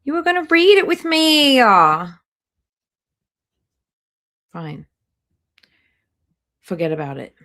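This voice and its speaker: A woman's voice: sits high, big pitch swings, takes it slowly, long pauses, bright, forceful tone. A woman hosting a casual reaction livestream, chatting directly to her viewers as she comments. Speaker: livestream host reacting to videos.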